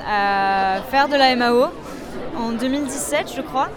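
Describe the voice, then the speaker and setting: A woman's voice: fairly high pitch, normal speed, music in the background. A young woman from the south of France, a musician and DJ, answering interview questions in a chatty, hesitant way with many fillers. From Sète, south of France. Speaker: musician and DJ, guest. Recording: noisy crowd in background.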